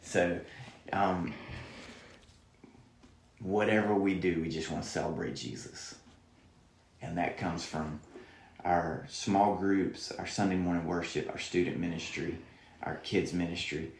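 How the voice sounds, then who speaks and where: A man's voice: sits low, fairly even intonation, unhurried, long pauses, reverberant, a little hiss or hum. A man with a Southern accent, a pastor speaking earnestly in a podcast interview and quoting scripture. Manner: earnest, preacherly, quotes scripture. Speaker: student pastor, guest.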